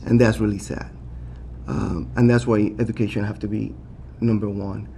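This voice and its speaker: Earnest Spanish-accented man, low gravelly voice. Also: flat delivery, warm tone, a little hiss or hum. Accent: Latin American Spanish. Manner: earnest, halting, with many fillers and restarts. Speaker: city council candidate. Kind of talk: candidate forum answers.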